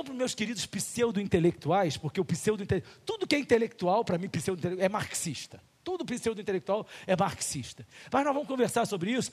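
A man's voice: high voice, slightly rough, long reverb tail. A male pastor with a Brazilian Portuguese accent preaching a sermon in a church, full of rhetorical questions and acted-out little dialogues.

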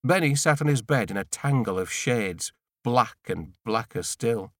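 Male audiobook narrator, mid-range pitch, measured first-person storytelling, deliberate short phrases, dry studio-like sound. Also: expressive, no room sound.

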